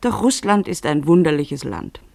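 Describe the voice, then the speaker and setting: Radio play narrator, literary prose, measured, explanatory, mock-formal, dry studio acoustic. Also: mid-range voice, big pitch swings, vocal fry, a little mellow, dry recording.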